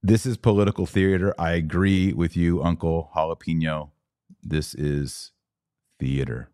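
A man's voice: low voice, fairly even intonation, unhurried, mellow, clear, resonant tone, dry recording, studio quality. A man from Texas hosting a podcast, chatting casually and answering live comments in a folksy, emphatic way, often repeating his advice. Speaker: podcast host.

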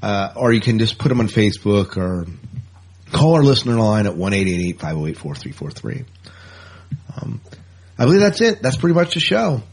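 A man's voice: fairly low pitch, big pitch swings, moderate pace, gravelly, a little mellow, breathy edge. A man hosting a podcast in a casual, informal, easygoing chat style. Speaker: podcast host.

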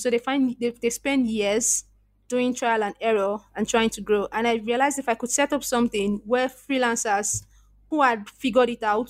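A Nigerian woman speaks as a podcast guest, her voice sitting a little higher than most. She tells her story earnestly and reflectively, often restarting her sentences. The recording is dry and close, with no room sound. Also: dry recording. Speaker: podcast guest, freelance copywriter. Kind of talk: casual interview conversation.

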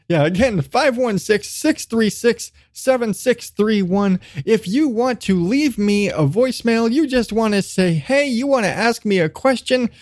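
A man's voice: high-pitched, a little mellow, no room sound, studio quality. A man hosting a solo podcast, chatting casually in a rambling, self-deprecating way with some casual swearing. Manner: casually profane. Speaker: podcast host.

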